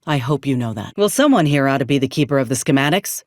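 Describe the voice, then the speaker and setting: An actress voicing a frank, loyal frontier soldier in a video game. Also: female voice, extremely low pitch, expressive, fast, no room sound, clean studio sound. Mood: solemn, heartfelt. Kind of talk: scripted video game character dialogue.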